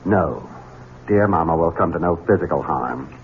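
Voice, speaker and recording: An actor playing a cold, scheming middle-aged master of a country estate, sardonic and domineering, in 1970s radio drama style. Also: male voice, low-pitched, animated, moderate pace. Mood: smug, sardonic. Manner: sardonic, domineering, softly menacing. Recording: dull, noisy 1970s radio broadcast.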